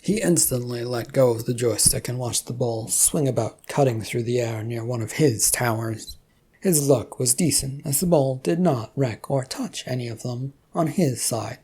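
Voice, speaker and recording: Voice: mid-range voice, moderate pace, dark tone, breathy edge. A storyteller reading scripted fiction narration.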